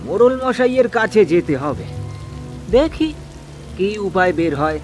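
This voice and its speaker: A man's voice: high-pitched, lively intonation, a little slow, a little mellow, soft background music. A man voicing an anxious, grumbling village fish farmer in a horror cartoon, exclamatory and stammering, repeating his words. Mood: weary, exasperated. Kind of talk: Bengali horror cartoon dialogue.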